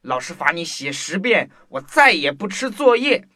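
Woman putting on a low, stern, scolding teacher for a kids' audio story. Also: big pitch swings, moderate pace.

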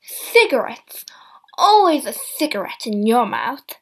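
A bitter, indignant mother of fifty lashes out at her grown children, loud and scolding, as a woman reading a storybook puts her on. The delivery is slow and deliberate, set fairly high. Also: lively intonation, vocal fry, heavily acted, low-quality recording. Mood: angry scolding. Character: indignant fifty-year-old mother, angry about money.

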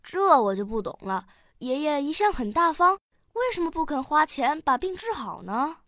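A woman playing a curious, quick-witted little granddaughter in a radio drama, eager and full of questions. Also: high-pitched, very animated, fairly bright tone, no echo, clean studio sound, exaggerated voice. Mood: puzzled.

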